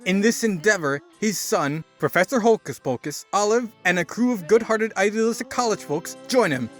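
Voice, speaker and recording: Male narrator, high voice, theatrical and emphatic, dramatic story reading, music underneath. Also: expressive, normal speed. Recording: music behind the voice.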